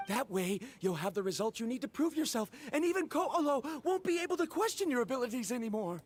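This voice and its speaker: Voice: medium pitch, very animated, quick pace, faint music behind, natural voice. An actor playing a stubborn, proud young male adventurer, intense and defiant, in an anime-style video game. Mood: insistent.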